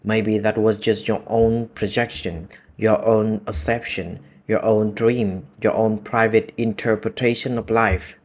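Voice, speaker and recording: A man with a Vietnamese accent, speaking English as a second language in a slightly low voice. He talks in a calm, measured and contemplative way, like a reflective solo monologue. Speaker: video essay host.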